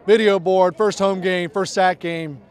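A man's voice: high voice, fairly even intonation, measured pace, clean tone. A young man, a sports broadcaster, leading a postgame sideline interview outdoors with a handheld mic, in upbeat play-by-play phrasing. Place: outdoors on a football field.

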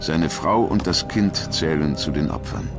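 A man with a low, deep voice narrates a documentary. His delivery is measured and grave, carefully scripted, with music clearly playing behind him. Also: expressive.

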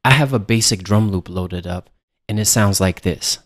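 A man with a fairly low voice gives a music production tutorial. He explains each step casually, like an instructor walking a student through the software, speaking close to the mic. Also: normal speed, background noise.

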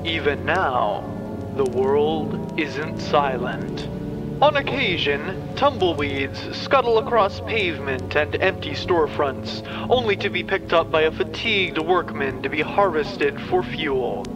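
A man narrating a scripted dystopian story in a measured, dramatic manner. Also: on the high side, lively intonation, music in the background, poor audio.

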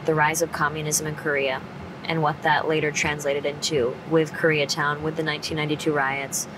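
A woman's voice: sits low, little pitch movement, fairly quick, noisy background. A woman interviewer asking curious, follow-up questions.

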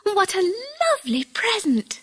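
A woman's voice: high voice, very animated, moderate pace, voice pushed up. A woman playing an eager, wonderstruck little girl who exclaims her way through a children's storybook. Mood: breathless delight.

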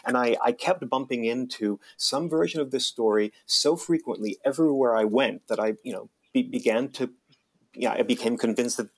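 A man's voice: mid-range voice, moderate pace, no echo. A man in his forties, raised in Saudi Arabia in an American family, answering interview questions on a video call with earbuds, thoughtful, with many restarts and fillers. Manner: thoughtful, with frequent restarts and "you know" fillers. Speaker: author and guest. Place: small room indoors. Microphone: earbud headset on a video call.

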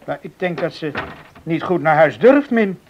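High-voiced, proud young farmer's son. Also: male voice, expressive, normal speed, a little mellow, highs cut off, slight background noise. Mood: troubled. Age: young adult. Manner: defiant, protesting. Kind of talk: radio drama acting.

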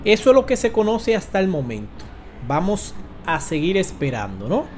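A man in his forties with a Dominican accent gives informal news commentary as a host, halting and emphatic, with dramatic pauses. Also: high-pitched, big pitch swings, slight background noise. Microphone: close desk microphone.

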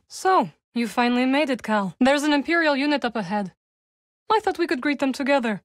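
An actress voicing a composed, dry-witted young witch warrior in a video game, speaking in measured, formal phrases. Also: a little high, no echo, close to an everyday voice. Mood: calm, resolute. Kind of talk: video game character dialogue.

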